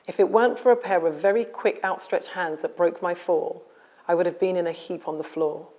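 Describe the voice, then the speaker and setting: A woman author speaking in a measured, articulate and solemn way about personal experience. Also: lowish voice, fairly even intonation, dull audio. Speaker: author and guest. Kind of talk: reading a memoir aloud, then interview talk.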